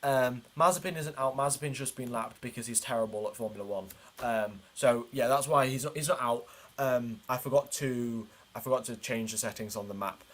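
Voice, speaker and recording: A man's voice: mid-range voice, brisk. A man hosting a livestream, giving informal, chatty commentary on a motor race and explaining it as he goes.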